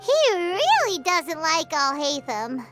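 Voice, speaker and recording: Game fairy companion: tiny, childlike, extremely high and bouncy, over music. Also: female voice, huge pitch swings, takes it slowly, exaggerated voice. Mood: musing. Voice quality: squeaky, childlike. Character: small childlike female fairy companion, chirpy, talks in third person.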